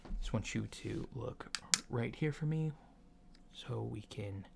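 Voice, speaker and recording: A man playing a casual, reassuring alien doctor in a soft ASMR roleplay, speaking in a mild, hesitant, matter-of-fact way. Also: medium pitch, unhurried. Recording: lo-fi with clear background noise.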